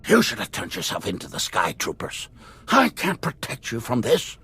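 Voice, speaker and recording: An actor playing a stern, disappointed male administrator in a video game cutscene, grave and reproachful, with a gravelly, husky voice. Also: medium pitch, huge pitch swings, normal speed, bright, forceful tone, full character voice. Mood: stern disappointment.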